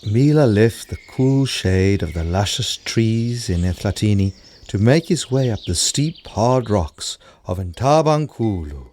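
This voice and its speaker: A man with a South African accent, playing the storyteller of an animated children's folktale, with music behind him. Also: on the low side, a little slow, a little hiss or hum. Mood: scene-setting. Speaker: narrator, storyteller.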